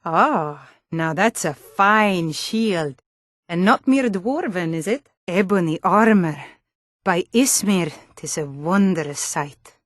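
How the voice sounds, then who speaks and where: A woman's voice: lowish voice, lively intonation, slow pace, no echo. Blunt, tough Nord woman guard, dry recording. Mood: admiring. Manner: blunt, gruff, challenging, wry. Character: tough, suspicious female Nord city guard. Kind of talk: fantasy video game character barks.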